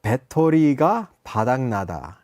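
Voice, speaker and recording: A man's voice: medium pitch, no echo, studio quality. A man hosting a casual radio show and teaching expressions, laughing often. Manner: fast, animated, switching between English and Korean, laughs often. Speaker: radio DJ and Korean language teacher. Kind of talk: casual radio chat with language lesson.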